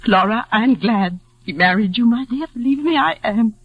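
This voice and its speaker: A woman's voice: a little high, animated, moderate pace, husky, dry recording, lo-fi sound. A woman playing an anguished, pitying middle-aged mother in theatrical 1940s radio drama style. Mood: tearful pity. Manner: theatrical, emotional. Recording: vintage radio broadcast with music.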